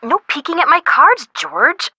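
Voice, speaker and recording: A woman's voice: high-pitched, sing-song, unhurried, pushed and bright, dry recording, highs cut off, full character voice. An actress playing a chatty, bubbly young woman in video game dialogue. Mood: chatty, playful. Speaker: voice actor.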